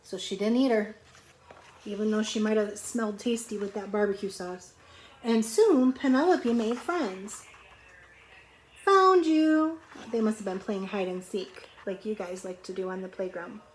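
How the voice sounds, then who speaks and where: A middle-aged woman reads a picture book aloud to young children, pausing to explain simply and ask questions in a conversational way. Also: mid-range voice, dark tone. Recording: clearly noisy home recording.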